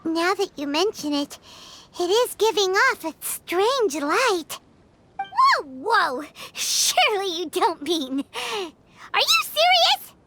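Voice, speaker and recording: A squeaky little fairy companion. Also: female voice, wildly swooping pitch, slow pace, pushed and bright, a little hiss or hum, exaggerated voice. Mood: excited. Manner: bouncy, exclamatory, curious. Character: tiny excitable female fairy-like companion.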